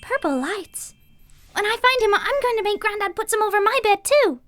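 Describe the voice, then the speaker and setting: A woman voicing a curious, adventurous little girl in a video game, innocent and chatty. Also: moderate pace. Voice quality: high, lively childlike voice.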